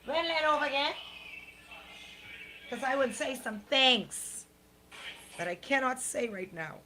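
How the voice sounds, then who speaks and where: A woman's voice: sits high, lively intonation, measured pace, pushed and bright. A woman with a Bahamian accent co-hosting a radio show, moving between casual, blunt banter and reading local news. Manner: blunt, colloquial. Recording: clearly noisy radio show audio.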